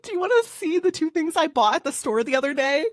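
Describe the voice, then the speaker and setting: A woman's voice: high-pitched, animated, fast talker, dry recording, clean studio sound. A woman co-hosting a podcast in casual chat. She is bubbly, laughs often and uses many fillers. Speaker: podcast co-host.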